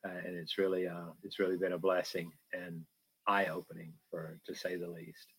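Deep, flat, husky-voiced man. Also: poor audio. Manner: halting, sincere, many fillers. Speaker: farm resident, guest.

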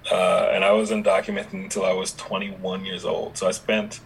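A young adult man with no regional accent, chatting relaxed with many fillers as a podcast guest. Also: sits low, normal speed, slight background noise, low-quality recording. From Dominica, raised in Houston, Texas. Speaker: podcast guest, app founder. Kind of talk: casual interview conversation.

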